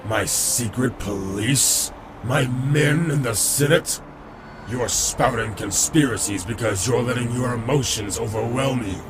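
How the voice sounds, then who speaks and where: Man playing a slow, condescending robot lawman. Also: medium pitch, music in the background, natural voice. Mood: quietly menacing. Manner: condescending, deliberate, sardonic. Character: smug, domineering male robot lawman and schemer. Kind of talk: dramatic comic dub acting.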